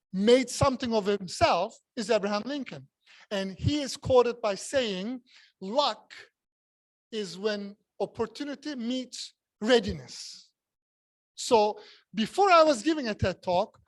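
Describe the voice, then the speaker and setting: A man's voice: high-pitched, dry recording, poor audio. A man who speaks English as a second language, giving a jokey, self-mocking speech at a club meeting and repeating words for emphasis. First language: not English.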